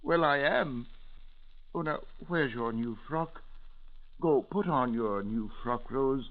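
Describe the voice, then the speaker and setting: An actor playing a fretful, fussy older uncle in a vintage radio drama, hesitant and theatrical. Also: male voice, medium pitch, slow pace. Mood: weary, grumbling. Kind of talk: 1940s radio drama acting. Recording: noisy, muffled old radio recording.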